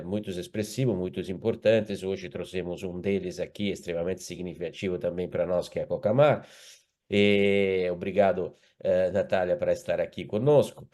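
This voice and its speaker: Brief: Italian-accented man, lowish voice, formal webinar presenter on a video call. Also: mellow. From Italy. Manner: formal, hesitant with fillers. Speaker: company executive guest.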